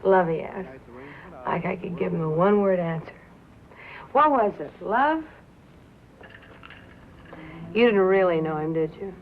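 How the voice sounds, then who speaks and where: An actress playing a tough, bitter young nightclub singer who drinks, with an American accent and a sardonic, drawling delivery, in a 1950s film drama. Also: female voice, fairly low pitch, normal speed, lightly acted. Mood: wry, bitter. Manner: sardonic, drawling, dwelling on and repeating words. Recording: tinny, hissy 1950s film soundtrack.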